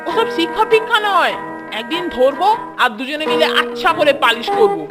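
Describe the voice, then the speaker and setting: A very high, cheeky cartoon schoolboy, bold and confident. He has a plucky sidekick manner, with music behind him. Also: very animated, brisk. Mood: plucky, defiant.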